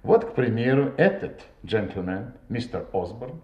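A shrewd, self-assured police inspector in a radio play. His delivery is theatrical and emphatic, with a very mobile pitch. The recording is roomy and slightly dull. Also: big pitch swings, unhurried. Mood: confident, explanatory. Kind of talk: Russian audio drama acting.

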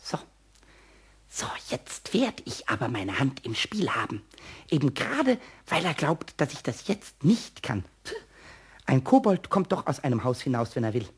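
A man voicing a small, cheeky, defiant kobold with a squeaky, raspy voice, in a radio play. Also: big pitch swings, unhurried, heavily acted. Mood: defiant scheming. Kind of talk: German radio play acting.

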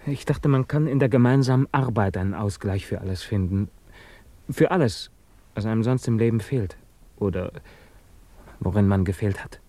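A man's voice: fairly low pitch, moderate pace, warm tone. An actor in a German radio drama playing a young male scientist, guilt-ridden and defiant, with an intense, philosophical manner. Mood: uneasy, defensive.

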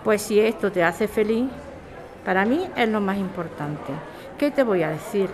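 A woman's voice: mid-range voice, expressive, normal speed, lightly acted. A woman with a Spanish accent from Spain playing a caring, questioning grown daughter in a radio drama. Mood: accepting. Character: caring, doubtful grown daughter who runs a bar. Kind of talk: radio serial acting. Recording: crowd chatter behind the voice.

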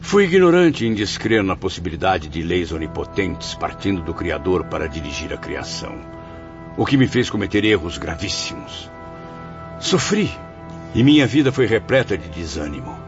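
An actor with a Brazilian accent playing a proud, embittered blind writer's spirit in a radio soap, declaiming theatrically. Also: male voice, sits low, big pitch swings, moderate pace. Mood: bitter, remorseful. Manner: theatrical, declamatory, grandiose, with swelling and sinking emphasis. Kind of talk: radio soap opera acting. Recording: dull lo-fi audio, music behind.

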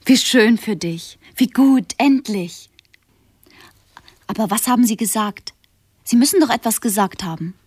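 Breathy, anxiously questioning woman. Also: on the high side, animated, measured pace, long pauses, a little mellow, dry recording, clean studio sound. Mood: doubtful. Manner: probing, coaxing questions. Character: anxious, questioning female companion of an invalid. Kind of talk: radio play acting.

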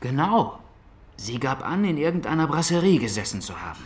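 A man's voice: fairly high pitch, sing-song, brisk, slight background noise. An actor playing a dutiful policeman giving a plain, slightly colloquial surveillance report in a German radio crime drama. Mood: matter-of-fact.

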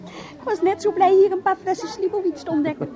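An actress playing a brash, flirtatious ex-wife in a radio play, teasing and theatrical. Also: female voice, very high voice, a little fast, mellow.

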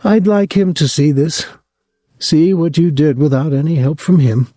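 Fretful possessive mother near fifty, raised and shrill, read by a man. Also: moderate pace, dark tone, no room sound, clean studio sound, close to an everyday voice. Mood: bitter. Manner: shrill, accusing, clinging.

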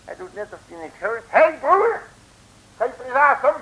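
An actor playing a cocky, slangy streetwise detective in an early 1960s comic radio serial, blustering and emphatic. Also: male voice, extremely high pitch, sing-song, normal speed, bright, forceful tone, breathy edge, heavily acted, rough recording. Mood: indignant. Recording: old radio broadcast recording.

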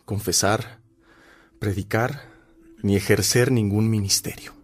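Radio drama messenger: lowish, solemn, devout, deliberate voice carrying sorrow. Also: male voice, fairly even intonation. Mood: grave. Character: sorrowful, devout male bearer of bad news. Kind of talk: Spanish-language radio drama acting.

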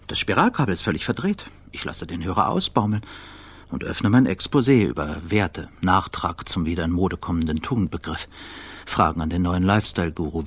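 A paranoid, frazzled newspaperman in a radio drama monologue. His voice sits low and is heavily husky, almost breathy. He broods and dramatises his own misery as he confides in the listener. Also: no echo. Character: paranoid, frazzled male journalist craving a cigarette. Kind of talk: first-person radio play monologue.

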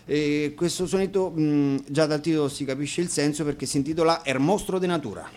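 A man casually introducing songs as a guest musician, explaining things with hesitant pauses. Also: fairly high pitch, darkish tone. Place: radio studio.

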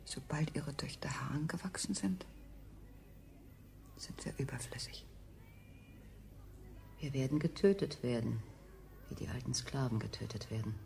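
A woman's voice: a bass voice, unhurried, gravelly, long pauses, a little mellow, slight background noise, exaggerated voice. An actress playing a bitter enslaved woman who plots against her masters, in a declamatory, conspiratorial 1970s radio play style. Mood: defiant. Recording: 1970s studio radio recording.

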